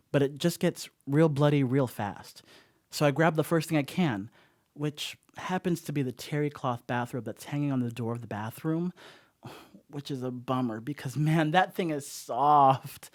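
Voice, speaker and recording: An actor playing a rattled, wry slacker who rambles through a confession in a horror comedy radio play. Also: male voice, mid-range voice, no room sound, studio quality, lightly acted.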